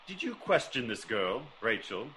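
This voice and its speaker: An actor playing a keen, commanding detective, probing and theatrical, in a classic radio drama. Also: male voice, on the low side, big pitch swings, normal speed, pushed and bright. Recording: noisy old radio recording.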